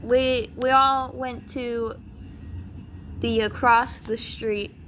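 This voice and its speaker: A woman with a raspy voice telling a rambling storytime, full of "like" fillers, over game footage. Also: fairly high pitch, fairly even intonation, extremely slow, a bit bright, soft background music. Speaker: vlogger.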